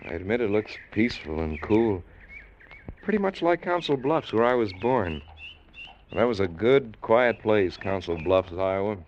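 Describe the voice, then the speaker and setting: An actor playing a skeptical, commanding spaceship captain in an old-time radio drama, with an incredulous, authoritative manner. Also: male voice, on the low side, big pitch swings, measured pace, highs cut off, a little hiss or hum. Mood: pensive, urgent.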